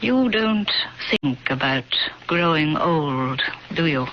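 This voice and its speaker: Radio mystery: very old woman neighbor, weary and enigmatic, unhurried low delivery, noisy vintage recording. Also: expressive, highs cut off. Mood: wistful, probing. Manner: slow, deliberate, weary. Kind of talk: radio mystery drama acting.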